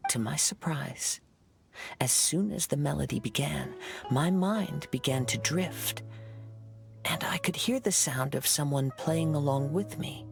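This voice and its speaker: A man voicing a gentle, serene young wandering samurai in a video game, speaking softly in measured, poetic, formal phrases over music. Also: a little high, vocal fry. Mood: serene, wistful. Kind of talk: English game voice acting. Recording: clean studio voice over background music.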